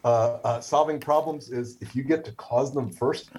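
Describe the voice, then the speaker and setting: A man's voice: medium pitch, moderate pace, darkish tone, slight background noise. A middle-aged man whose first language is Hebrew, telling a story casually with many fillers, restarts and wry humor. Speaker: guest, stroke survivor.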